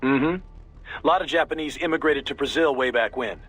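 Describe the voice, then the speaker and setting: An actor playing an easygoing, knowledgeable mission support adviser in a video game, giving a casual, explanatory briefing in a husky voice. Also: male voice, medium pitch, fairly quick, fairly bright tone. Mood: informative, relaxed. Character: easygoing male military intelligence support adviser, knowledgeable, informal.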